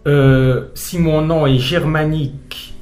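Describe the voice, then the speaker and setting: A middle-aged man from Switzerland, a pastor being interviewed on the radio, speaking thoughtfully with frequent pauses and hesitations. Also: on the high side, steady tone, darkish tone, roomy sound, soft background music.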